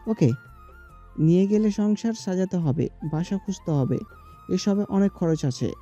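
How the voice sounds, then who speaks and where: A man's voice: high voice, animated, a little slow, mellow, soft background music. A man playing a practical, cautious young friend in an audio story, on a muffled recording. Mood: concerned, practical.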